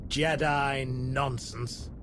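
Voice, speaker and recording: A scornful, haughty dark lord for a video game. His voice sits at a medium pitch and drags very slowly, cold and disdainful, with noise behind it. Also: steady tone. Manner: drawn-out, disdainful. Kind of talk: dramatic video game acting.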